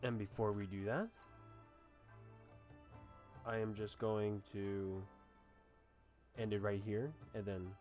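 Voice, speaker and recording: A man's voice: on the low side, flat delivery, takes it slowly, pauses often, darkish tone, soft background music. A man giving casual, slangy playthrough commentary in a laid-back manner. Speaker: gamer.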